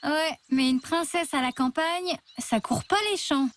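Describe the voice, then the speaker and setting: A woman's voice: sits high, unhurried. A woman voicing a dry, slightly sarcastic ten-year-old girl in a cartoon. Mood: wry. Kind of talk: cartoon dubbing.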